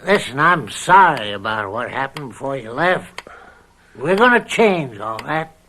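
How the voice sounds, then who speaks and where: An actor playing a scheming, controlling older farm uncle with drawn-out, falsely kindly wheedling in an old radio mystery drama. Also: male voice, mid-range voice, big pitch swings, bright, forceful tone, slight background noise. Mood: oily, coaxing.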